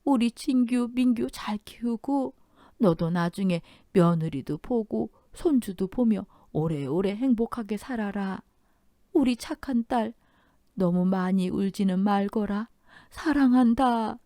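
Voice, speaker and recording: A woman voicing a frail, dying old mother-in-law in a Korean radio story, tender and sentimental, with slow, drawn-out reminiscing. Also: mid-range voice, dark tone, dry recording, clean studio sound, pitched above the performer's own voice, natural voice. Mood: tender farewell.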